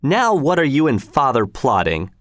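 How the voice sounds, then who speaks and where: A man's voice: mid-range voice, wildly swooping pitch, normal speed, no room sound, clean studio sound. A man reading a story voices a worried wife and mother who scolds and frets insistently, in a raised voice. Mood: anxious. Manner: nagging, fretful, insistent. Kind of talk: audiobook character reading.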